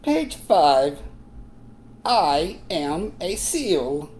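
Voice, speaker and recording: A woman's voice: lowish voice, sing-song, very slow, darkish tone, slight background noise, heavily acted. A woman voicing a cheerful puppet who leads a read-along for young children in an exaggerated, encouraging sing-song. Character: Sebastian, a cheerful puppet reading to kids. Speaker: children's reading teacher.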